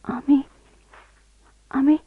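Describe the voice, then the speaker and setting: An actress playing a discontented, bitter young wife in a radio drama, with an intense, dramatic delivery. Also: female voice, drawn-out words. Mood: stunned. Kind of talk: Bengali radio drama acting. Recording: dull, muffled old radio drama recording.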